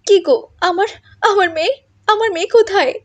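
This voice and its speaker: A woman's voice: extremely high pitch, fairly quick, voice pushed up. A woman voicing an emotional, earnest young wife and mother in a cartoon. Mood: worried. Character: anguished young village wife defending her daughter.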